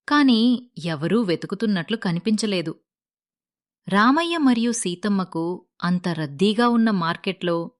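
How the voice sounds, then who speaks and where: A woman narrates a moral story from a script. Her voice has an average pitch for a woman. The recording is dry, without room echo.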